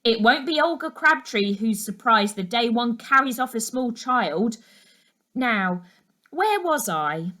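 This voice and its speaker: A flamboyant village gossip in her sixties, as a woman reader plays her, effusive and chatty. Her voice is highish and leaps about theatrically, as a nosy storybook neighbour's would. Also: very animated, slow pace, sharp tone, heavily acted. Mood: indignant. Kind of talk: children's storybook character voice.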